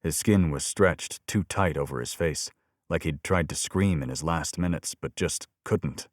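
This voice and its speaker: Low-voiced man narrating a crime novel. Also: measured pace, no room sound, clean studio sound. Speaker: audiobook narrator. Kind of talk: scripted first-person crime fiction narration.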